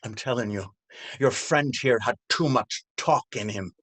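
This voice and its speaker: Man playing a slow, taunting, brutal policeman. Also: mid-range voice, a bit bright, natural voice. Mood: cruel, gloating. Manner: mocking, drawling, menacing. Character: cruel, taunting, violent corrupt male police officer. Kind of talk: stage readers theater drama.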